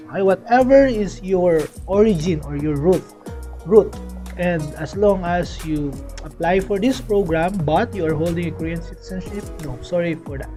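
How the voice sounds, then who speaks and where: Young man from the Philippines, high and soft-toned, casual vlogger with lots of fillers, faint music underneath. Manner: chatty, repeats "okay" often, frequent fillers and restarts. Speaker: vlogger explaining a scholarship. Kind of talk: casual explanatory vlog. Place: indoors, small room.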